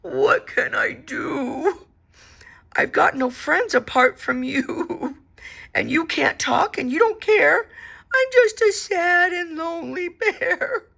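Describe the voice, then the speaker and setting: A woman's voice: fairly high pitch, very animated, unhurried, vocal fry, fairly bright tone, husky, full character voice. A woman doing a whiny lonely big bear. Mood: weepy. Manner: whiny and whimpering.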